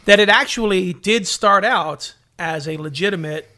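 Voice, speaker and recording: A man co-hosting a podcast, chatting casually and enthusiastically in an animated, joking way. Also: high voice, moderate pace. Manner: animated, joking, slips into mock announcer lines. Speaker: podcast co-host.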